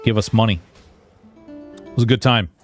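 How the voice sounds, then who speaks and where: A man in his early forties chatting casually and haltingly to livestream viewers while gaming, informal and self-deprecating, with some mild swearing. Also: fairly low pitch, fairly even intonation, soft background music. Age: early 40s. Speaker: game livestreamer.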